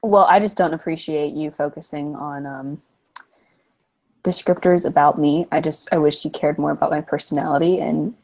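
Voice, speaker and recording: A woman co-hosting a live stream, casual and full of deadpan, sarcastic quips. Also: low-pitched, moderate pace. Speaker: stream co-host.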